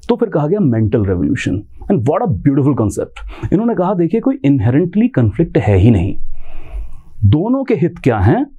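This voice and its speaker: An Indian-accented man gives an explanatory coaching lecture. His mid-pitched voice is mellow and rises and falls in a very lively way. He teaches conversationally, stressing key terms and posing rhetorical questions. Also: breathy edge. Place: indoor teaching studio in front of a screen.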